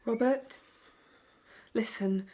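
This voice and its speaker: A woman's voice: fairly high pitch, very slow, dark tone. A woman playing a caring farmer's wife and mother in an amateur audio drama. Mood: gentle.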